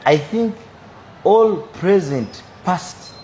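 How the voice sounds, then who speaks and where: Ugandan man in his twenties, measured. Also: high-pitched, animated, darkish tone, a little hiss or hum. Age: 20s. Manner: measured, philosophizing, repeats "for me, I believe". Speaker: businessman guest. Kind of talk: interview answers.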